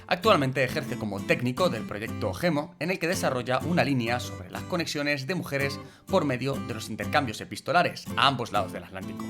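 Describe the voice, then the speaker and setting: A man's voice: mid-range voice, lively intonation. Formal male host over guitar music. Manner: measured, formal delivery. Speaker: interviewer host. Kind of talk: formal introduction and questions.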